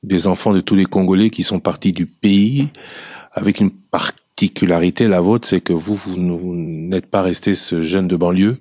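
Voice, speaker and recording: A man interviewing a guest on the radio, asking probing, measured questions with some fillers. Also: sits low, fairly even intonation, a little mellow, no room sound, studio quality.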